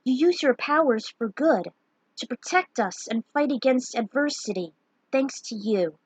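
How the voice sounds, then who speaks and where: A woman's voice: sits high, animated, unhurried, slightly rough, dry recording, pitched above the performer's own voice, full character voice. A woman voicing a kind, reassuring male warrior leader in a fantasy story.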